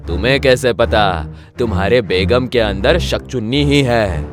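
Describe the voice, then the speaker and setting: A dismissive village headman voiced by a man in a cartoon horror story. He scolds in a medium-pitched voice. Soft music plays faintly behind. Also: measured pace, natural voice. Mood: skeptical, exasperated.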